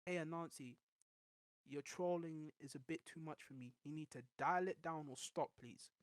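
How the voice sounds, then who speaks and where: A man with a voice that sounds high on recordings, a podcast guest telling rambling, animated stories in casual chat. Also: moderate pace, dry recording, clean studio sound. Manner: rambling, animated storytelling with restarts.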